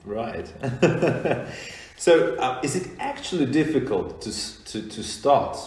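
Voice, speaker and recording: Slow-talking man, echoey room. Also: medium pitch, very animated, darkish tone. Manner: slow, halting, laughs between questions. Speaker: podcast host. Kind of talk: casual interview. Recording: echoey room with faint noise.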